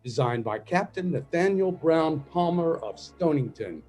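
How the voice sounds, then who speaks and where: Mellow male radio play narrator. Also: a little high, lively intonation, unhurried, soft background music. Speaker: narrator and announcer.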